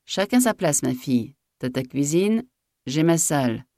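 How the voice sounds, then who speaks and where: A woman voicing an old male farm master in a storybook reading, with blunt, bossy, rustic speech and a quick temper. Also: low-pitched, expressive, dark tone, dry recording, clean studio sound. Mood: firm, bossy. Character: old, jovial, hot-tempered male farm master who bellows orders.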